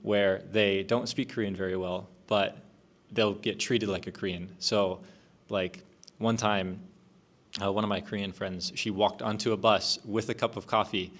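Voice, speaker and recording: A young man speaks in a somewhat low voice on a student panel. His talk is relaxed and full of fillers and restarts. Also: unhurried, slight background noise. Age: young adult. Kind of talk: casual discussion.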